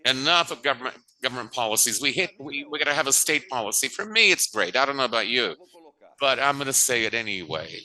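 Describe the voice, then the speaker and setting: A man interpreting a conference panel talk, halting often and restating phrases as he goes. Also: medium pitch, very animated, sharp tone, poor audio.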